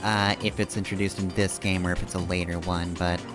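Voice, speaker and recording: A man's voice: low voice, level tone, a little fast. A man giving casual, rambling live commentary while he plays a video game, thinking aloud as he goes. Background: video game music.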